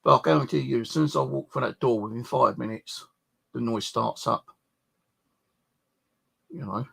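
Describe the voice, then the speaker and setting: A man's voice: mid-range voice, normal speed, vocal fry, fairly bright tone, husky. A man chatting casually and ramblingly about his own life, grumbling and swearing in a colloquial way. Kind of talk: casual rambling personal chat.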